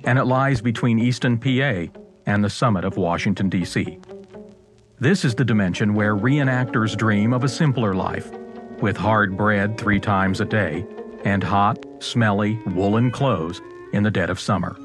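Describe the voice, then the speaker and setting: A man's voice: fairly low pitch, moderate pace, music in the background, natural voice. Man putting on a solemn, mock-eerie mystery show narrator. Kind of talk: parody scripted narration.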